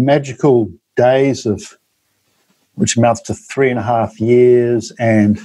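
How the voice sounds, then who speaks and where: Rambling New man, mellow voice. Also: mid-range voice, dry recording, poor audio. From New Zealand. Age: 50s. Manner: rambling, many ums and tag questions. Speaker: guest author. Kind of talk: interview monologue.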